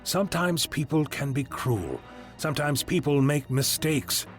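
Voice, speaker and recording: A man's voice: a little high, measured pace, soft background music, lightly acted. A man acting an earnest, kindly time-travelling adventurer in an amateur audio drama. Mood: gentle, earnest.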